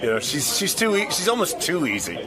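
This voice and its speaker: A man's voice: a little high, very animated, fairly quick, crowd noise. A man giving crude, offhand comments about a radio guest in a casual interview.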